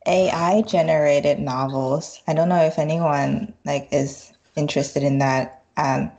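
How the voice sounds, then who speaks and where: A woman's voice: deep voice, steady tone, a little slow, gravelly, warm tone. A woman chatting casually and a little tentatively as a guest on a podcast. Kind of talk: casual conversation. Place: group online call.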